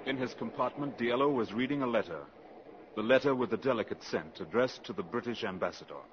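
An actor as the sober narrator of a 1950s radio spy drama, reading scripted narration. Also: male voice, medium pitch, fairly quick, close to an everyday voice. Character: sober male storyteller of a spy tale. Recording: dull, noisy old radio recording.

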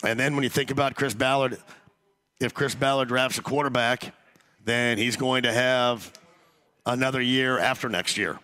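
A man hosting a sports talk radio show, hoarse after losing his voice, talking loosely with many restarts. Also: mid-range voice, fairly even intonation, normal speed, sharp tone. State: hoarse, lost voice.

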